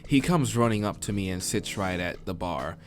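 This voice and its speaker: A man's voice: low-pitched, expressive, normal speed. A man narrating a visual novel as a let's player, informal, sometimes stumbling over the text and restarting. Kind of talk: story narration with casual asides. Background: game soundtrack music.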